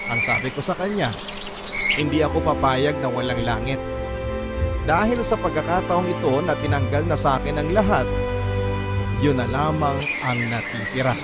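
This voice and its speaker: A man playing a sick, bitter father who recounts his hardships in measured, reflective radio-drama narration. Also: fairly high pitch, expressive, natural voice. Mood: bitter, anguished. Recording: loud music bed behind the voice. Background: loud music.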